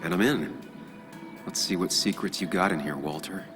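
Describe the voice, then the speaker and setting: A man's voice: sits low, moderate pace, close to an everyday voice. An actor playing a brooding, guarded journalist in a video game, analytical and understated in his delivery. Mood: musing, suspicious. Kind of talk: video game voice acting with muttered inner monologue. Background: music.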